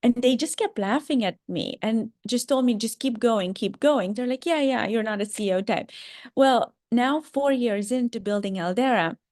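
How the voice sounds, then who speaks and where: A woman's voice: fairly high pitch, moderate pace, a little mellow, dry recording, clean studio sound. A woman from Romania with a slight Romanian accent, giving a career talk to students and speaking earnestly, with many ums and restarts. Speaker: startup founder and CEO.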